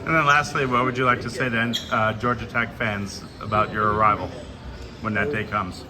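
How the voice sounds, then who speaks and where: A man interviewing a basketball recruit, asking brisk, direct questions. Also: lowish voice, fairly even intonation, a crowd in the background.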